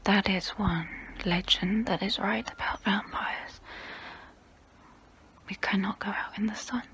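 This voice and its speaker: A woman playing a guilty, melancholy centuries-old vampire in an ASMR role play, whispering in a slow, hesitant, wistful way with formal phrasing. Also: mid-range voice, steady tone, a bit bright. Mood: musing, quiet. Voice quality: breathy whisper.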